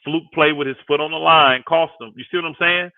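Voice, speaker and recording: A man's voice: on the high side, steady tone, a little fast, no echo. Phone-like voice of a fifty-year-old man. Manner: conversational, emphatic, repeats phrases for emphasis. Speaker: guest co-host and sports commentator on a live panel. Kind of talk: casual fan sports talk on a livestream. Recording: thin phone-like audio.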